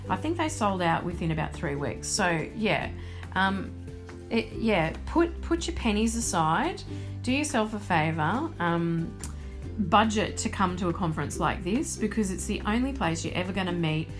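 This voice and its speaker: A woman with an Australian accent and a fairly low voice co-hosts a podcast. She talks casually but with enthusiasm and stresses her points. Music plays clearly behind her. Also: very animated, normal speed. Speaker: podcast co-host. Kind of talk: casual chat.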